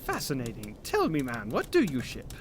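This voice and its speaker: A man's voice: mid-range voice, wildly swooping pitch, moderate pace. A man playing a pompous, rude old banker who blusters and condescends in an amateur radio play. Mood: self-important. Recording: faintly noisy home recording.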